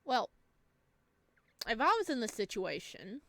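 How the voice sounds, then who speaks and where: A woman hosting a let's play, reading game text aloud and chatting to viewers with bubbly, expressive reactions. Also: fairly high pitch, a little slow, no room sound. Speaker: gaming video host.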